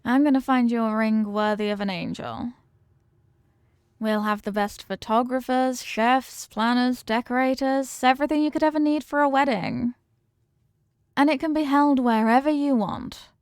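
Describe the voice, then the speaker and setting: A woman's voice: fairly high pitch, fairly even intonation, moderate pace, darkish tone, clean tone, no echo, clean studio sound, lightly acted. A woman playing a confident mafia boss girlfriend in an intimate romantic audio roleplay, talking tough but tenderly with affectionate slang. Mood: devoted, promising. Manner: affectionate tough talk with slangy pet names.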